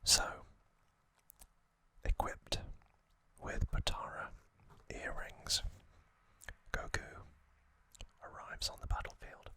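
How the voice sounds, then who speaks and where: A man hosting ASMR, whispering trivia narration in a breathy, unvoiced voice with soothing, deliberate short phrases. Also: sharp tone. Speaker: ASMR host. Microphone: close microphone.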